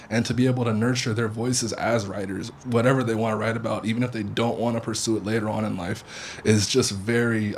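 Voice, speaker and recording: A young man with a loud voice, a writing teacher giving a loose, chatty reflection to a gathering in someone's home. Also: lowish voice, a little hiss or hum. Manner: loose, chatty, many "like" fillers. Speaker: creative writing teaching fellow. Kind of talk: casual spoken reflection to a live gathering. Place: living room of a private home.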